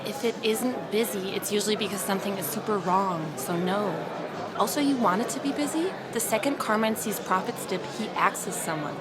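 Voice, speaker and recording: Against clear crowd chatter, a jaded veteran shop worker played by an actress speaks in a mid-range female voice. She is bossy, brusque and sardonic, in a natural drama style. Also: medium pitch, moderate pace, lightly acted. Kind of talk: conversational indie drama acting.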